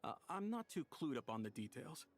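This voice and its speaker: A man's voice: on the high side, big pitch swings, a little fast. A man playing an easygoing ordinary townsman in a video game, casual and dismissive. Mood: casual, defensive. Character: easygoing, hungry ordinary man, brushing off questions.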